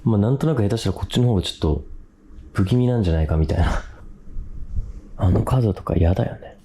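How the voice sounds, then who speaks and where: A man's voice: on the low side, fast talker, mellow. A man co-hosting a ghost-hunting video, chatting casually and matter-of-factly indoors. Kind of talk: casual chat on location. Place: indoor hotel room. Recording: echoey room with faint noise.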